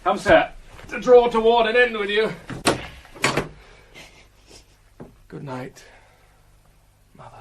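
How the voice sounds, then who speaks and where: Sardonic mad-seeming prince, British, mercurial, highish voice, sweeping intonation, Shakespearean radio drama. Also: male voice, animated, measured pace, background noise. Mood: mock solemn. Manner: mercurial, mocking, theatrical delivery.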